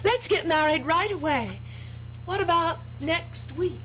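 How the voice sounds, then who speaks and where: An actress playing a sweet, affectionate, coaxing bride-to-be in an old-time radio drama. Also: female voice, extremely high pitch, animated, unhurried, a bit bright, a little hiss or hum, exaggerated voice. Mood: affectionate, eager. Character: sweet, adored, flirtatious bride-to-be. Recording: dull radio recording, faint music.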